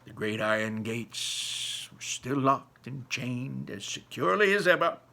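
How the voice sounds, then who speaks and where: A man reading a children's book aloud as storyteller, in an expressive, dramatic manner. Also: medium pitch, measured pace, creaky. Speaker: story reader.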